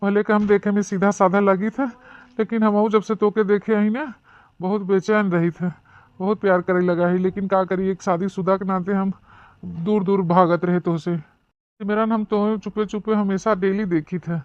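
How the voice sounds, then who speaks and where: A man's voice: sits high, level tone, moderate pace, clean tone, no room sound, close to an everyday voice. Guy doing a meek cartoon husband, awadhi accent, hesitant n stammery, dry dull lo-fi audio. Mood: smitten, confessing. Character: meek, simple married office man, secretly smitten. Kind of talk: cartoon comedy dialogue. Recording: muffled, dull, lo-fi sound.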